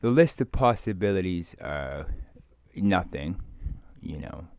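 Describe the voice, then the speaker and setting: Low, creaky-voiced man musing haltingly. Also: lively intonation, darkish tone, dry recording. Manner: hesitant, halting, musing, trailing off. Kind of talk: rambling casual monologue, ASMR roleplay. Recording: dull lo-fi close dry recording.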